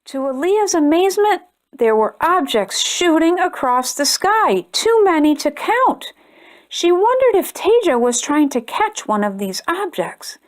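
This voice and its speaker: Gentle, lively woman reading a kids' story. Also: extremely high pitch, measured pace. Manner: gentle, expressive reading, brighter and chattier when addressing viewers. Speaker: storytime host reading a children's book. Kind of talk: read-aloud storytelling for kids.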